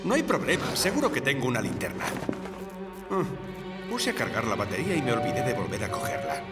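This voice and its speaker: An actor playing a cheerful, blundering, hearty Canadian outdoorsman in a children's cartoon, booming and jovial. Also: very animated, a little fast, lightly acted. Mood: alarmed, confident. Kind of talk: animated children's cartoon dub. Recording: distant, echoey cave sound under music.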